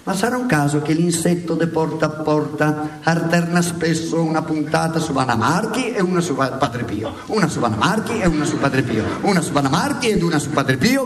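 A man's voice: on the high side, natural voice. A man playing a haughty, defensive, mocking pope in a satirical stage debate, with sneering, emphatic theatrical phrasing. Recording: echoey stage, distant microphone.

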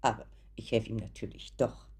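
A woman's voice: extremely low pitch, little pitch movement, a little fast, dark tone, slightly rough, exaggerated voice. A middle-aged actress in a German radio play, playing a genteel, doting mother who speaks politely, with insistent emotion. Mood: anxious, devoted. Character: genteel, anxious, doting mother of grown son.

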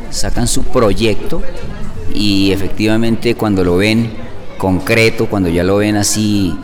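Colombian male interviewee, lowish voice, unscripted, clear outdoor crowd behind. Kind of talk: spoken interview answer.

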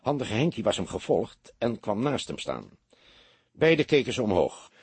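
Very husky male audiobook narrator. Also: on the low side, very animated, moderate pace, darkish tone, no echo. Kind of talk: scripted literary narration.

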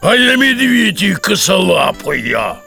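A man playing a big, clumsy, simple-hearted bear with a growling, drawn-out delivery in a children's audio fairy tale. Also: sits high, big pitch swings, pushed and bright, soft background music, exaggerated voice. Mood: clumsy, earnest. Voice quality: gravelly, growling. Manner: slow, roaring, drawn-out.

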